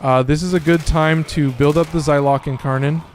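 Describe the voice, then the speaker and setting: A man with a slightly hoarse, raspy voice, giving loose and profane casual commentary while streaming a game. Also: on the high side, steady tone, moderate pace, slight background noise. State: a little hoarse. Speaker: gaming streamer.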